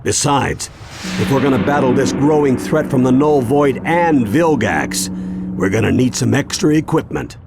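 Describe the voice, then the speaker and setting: A man's voice: mid-range voice, animated, moderate pace, gravelly, music in the background. An actor playing a seasoned older grandfather and monster fighter in a video game cartoon, earnest and authoritative. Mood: grave explaining.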